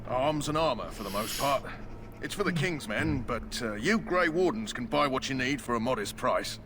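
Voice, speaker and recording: A man's voice: mid-range voice, lively intonation, moderate pace, natural voice. An actor playing a shifty, servile male army quartermaster in a video game, with a hesitant, obsequious manner. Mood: sly, obliging. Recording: noisy game ambience behind voice.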